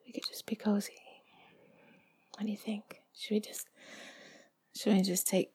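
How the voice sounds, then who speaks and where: A woman talking in an intimate, playful ASMR style close to the mic, soft and breathy, often slipping toward a whisper. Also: mid-range voice. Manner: giggly, playful, chatty. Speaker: audio performer addressing the listener. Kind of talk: intimate ASMR, rambling and affectionate.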